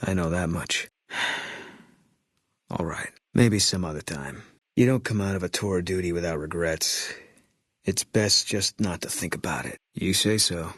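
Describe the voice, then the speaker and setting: A haunted ex-sniper companion in a video game, who talks in short, guarded sentences. His voice is very low and husky, recorded dry and close with no room around it. His delivery is flat and curt, like a soldier who would rather not talk. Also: a little slow, no room sound, exaggerated voice. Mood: grim. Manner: terse, clipped, flat and guarded. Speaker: companion character. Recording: dry studio sound.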